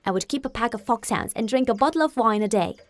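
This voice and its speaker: A woman's voice: a little high, lively intonation, fast talker, dry recording, lightly acted. A woman voicing a brash, boastful young boy in an audiobook, with a bold manner. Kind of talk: audiobook character voice.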